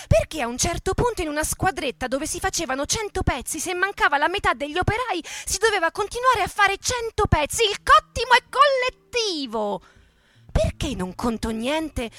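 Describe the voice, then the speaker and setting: A woman's voice: sits high, a bit bright, no echo. A woman playing an indignant young male factory worker in an emphatic, questioning stage monologue.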